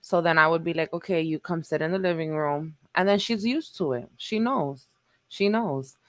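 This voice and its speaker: A woman's voice: fairly low pitch, moderate pace, no echo. A woman, chatting animatedly and talkatively as a podcast guest. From New York. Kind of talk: casual chat.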